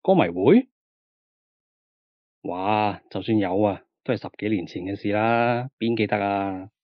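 A man's voice: fairly low pitch, lively intonation, a little slow, no room sound, lo-fi sound. A man with a Hong Kong Cantonese accent playing a flippant, bossy aging male film star in a radio drama. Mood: exasperated. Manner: exasperated, glib, bossy. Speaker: actor character on the phone.

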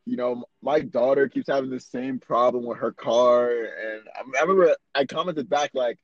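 A man's voice: mid-range voice, moderate pace, no room sound, highs cut off. A man chatting casually with a friend as podcast co-host, joking, boastful and swearing freely. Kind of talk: casual chat between friends. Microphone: remote call mic.

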